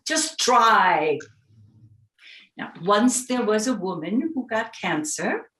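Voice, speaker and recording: A woman's voice: medium pitch, sing-song, slow, bright, forceful tone, heavily acted. A woman playing a bossy, determined older woman in a staged reading of a play. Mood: bossy, coaxing. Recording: roomy, echoey sound.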